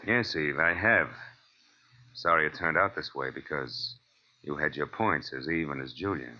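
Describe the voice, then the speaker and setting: A man's voice: very deep voice, normal speed. An actor playing a tough, wisecracking private detective in 1940s radio style, clipped and wry as he talks and narrates his case. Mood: cool, wry. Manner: clipped, wry, slangy, hard-boiled. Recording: noisy, muffled old radio recording.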